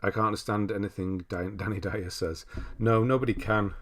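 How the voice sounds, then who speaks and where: A man's voice: lowish voice, fairly even intonation, brisk. A man hosting a casual livestream, rambling and thinking aloud as he reads out messages and comments on lists. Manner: rambling, thinking aloud, often trailing into asides. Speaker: livestream host. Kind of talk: casual live chat, reading out viewer messages and commenting on game lists.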